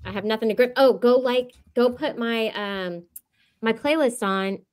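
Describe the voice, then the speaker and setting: A woman's voice: a little high, normal speed, darkish tone. A woman chatting casually, very informal and a little hesitant, tending to trail off. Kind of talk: casual chat.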